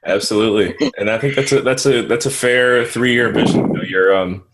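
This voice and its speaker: A man hosting an interview, asking questions and encouraging his guest with quick agreement. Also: on the low side, very noisy. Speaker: interview host. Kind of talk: conversational interview.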